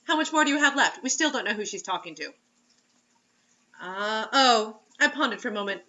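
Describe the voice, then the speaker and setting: A woman's voice: a little high, expressive, brisk, bright, forceful tone, highs cut off. A woman reading a story aloud as host, in a mocking dramatic way, breaking off for sarcastic casual asides.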